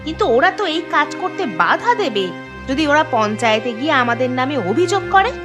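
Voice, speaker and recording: A woman's voice: high-pitched, sing-song, fast, over music. A woman voicing a spiteful, taunting village housewife in a cartoon, with mocking, sarcastic jibes. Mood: scheming. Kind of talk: cartoon voice acting.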